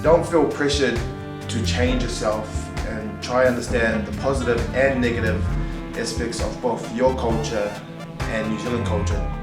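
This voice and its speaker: A man from Tonga, talking in a relaxed, reflective way in a casual interview. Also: medium pitch, normal speed. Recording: echoey and distant, with music underneath.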